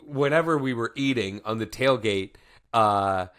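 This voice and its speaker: A man's voice: mid-range voice, a little slow, fairly bright tone, smooth. A man hosting a podcast, asking long, rambling questions full of fillers and asides. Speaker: podcast host. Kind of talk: casual interview chat.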